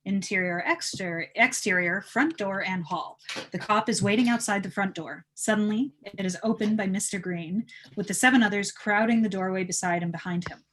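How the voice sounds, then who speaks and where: A woman narrates a screenplay at an informal table read. Her voice sits at a medium pitch as she reads out scene headings and action. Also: moderate pace, a bit bright, slight background noise. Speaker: narrator reading stage directions.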